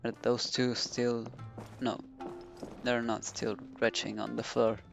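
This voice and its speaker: A woman gives casual commentary on a game stream. The voice is flat and unhurried, drifting through low-key, halting asides, with faint music behind. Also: creaky.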